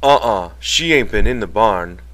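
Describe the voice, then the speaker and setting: A man's voice: on the low side, expressive, normal speed, fairly bright tone. A man voicing a childlike, simple-minded, earnest big man in an audiobook, with artless phrasing. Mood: meek.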